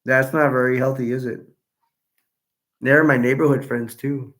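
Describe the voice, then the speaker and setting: A man hosting a casual live stream, chatting loosely with viewers and reading their comments aloud, his voice starting to go hoarse. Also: medium pitch, steady tone, normal speed, a bit bright. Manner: rambling, self-correcting, chatty. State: voice going hoarse.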